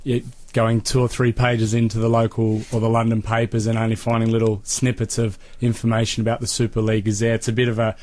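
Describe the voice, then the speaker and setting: A man's voice: on the low side, completely flat delivery, quick pace, hardly any pauses, darkish tone. An Australian man from Sydney giving casual, tentative, understated answers as a guest in a radio interview. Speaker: interview guest, amateur rugby league player.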